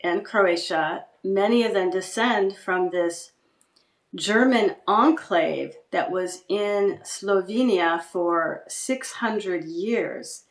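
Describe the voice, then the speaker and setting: A woman guest speaking reflectively about her writing and family history, often pausing and restarting. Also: mid-range voice, reverberant. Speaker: guest writer. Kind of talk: casual interview chat.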